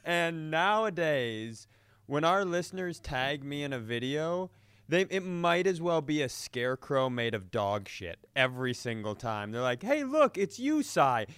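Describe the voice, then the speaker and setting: A man's voice: mid-range voice, a little slow, a bit bright, clear, resonant tone, dry recording. A man co-hosting a comedy podcast, joking casually in a crude, self-deprecating way. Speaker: podcast co-host.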